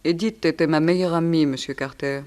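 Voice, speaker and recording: A woman's voice: low voice, steady tone, fairly quick, darkish tone, close to an everyday voice. A woman plays a young actress suspected in a detective radio play. She is self-possessed and formal, answering with precise, cool defiance. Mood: composed, defiant. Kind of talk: theatrical radio drama acting.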